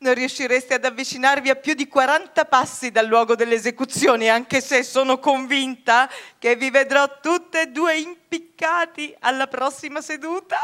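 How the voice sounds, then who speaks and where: A woman's voice: sits high, fairly even intonation, a bit bright. An actress playing a cheeky housekeeper in a comic classical radio drama, teasing her betters with mock-learned pomp. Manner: mock-pompous, teasing, drawn-out.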